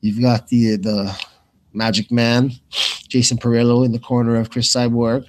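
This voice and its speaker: A man's voice: mid-range voice, little pitch movement, normal speed. A man hosting live fight commentary in a loose, casual, rambling way, often repeating phrases as he thinks aloud. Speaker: radio play-by-play commentator and host.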